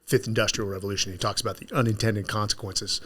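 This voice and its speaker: A man's voice: lowish voice, fairly even intonation, fast, bright, forceful tone. A middle-aged man hosting a podcast, asking questions in a casual, chatty way into a studio mic. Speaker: podcast host. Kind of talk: podcast interview. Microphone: studio mic with headphones.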